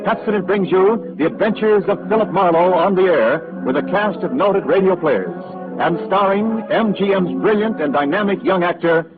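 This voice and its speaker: A man announcing a 1940s radio program with a booming, formal delivery. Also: expressive, normal speed. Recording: tinny 1940s radio broadcast with music.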